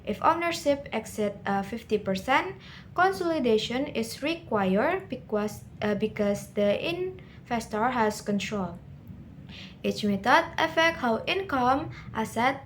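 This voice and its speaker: A young woman with an Indonesian accent giving a careful, formal rehearsed slide presentation as a student. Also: fairly high pitch. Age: young adult. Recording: slight background noise.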